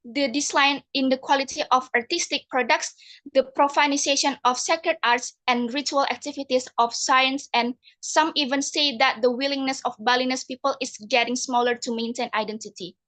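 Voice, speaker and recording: High-voiced young woman giving a formal speech. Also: steady tone, fairly bright tone. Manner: measured, formal oratory. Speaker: speech contestant.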